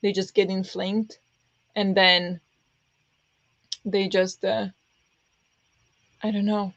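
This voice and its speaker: Chatty woman with a pressed, raspy-prone voice. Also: mid-range voice, little pitch movement, slow, dry recording. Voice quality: pressed voice that turns raspy easily. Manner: rambling, with many fillers and restarts. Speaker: book streamer hosting reading sprints. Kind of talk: casual livestream chat with viewers. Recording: dull lo-fi dry sound.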